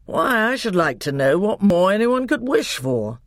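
A woman voicing a stern, cold middle-aged king who speaks slowly and commandingly, as a storybook reader. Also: medium pitch, very animated, dry recording, studio quality, pitched above the performer's own voice, natural voice. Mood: dismissive.

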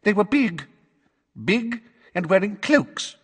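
A man's voice: high-pitched, lively intonation, slow, breathy edge, in a large hall, voice pushed up, heavily acted. A man voicing a nervous, batty old woman with a quavery, flustered voice, for an audiobook. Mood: nervous gabbling. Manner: gabbling as if reciting by heart.

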